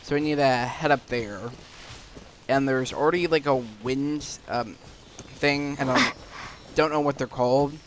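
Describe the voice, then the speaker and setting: A man's voice: medium pitch, fairly even intonation, unhurried, a little hiss or hum. A man giving casual, rambling gameplay commentary with a hesitant delivery. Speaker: gaming video narrator. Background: game music.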